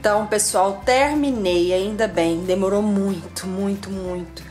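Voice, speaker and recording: A woman with a Brazilian Portuguese accent talks straight to her viewers in a relaxed, chatty way as she tidies up. Her voice sits at an everyday middle pitch. It is recorded on a handheld camera that moves around her home kitchen. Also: measured pace, faint music behind. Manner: chatty, addresses viewers directly, talks while cleaning. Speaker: vlogger. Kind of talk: casual home vlog narration. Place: home kitchen, indoors, medium-sized. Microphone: handheld camera, moved around the room.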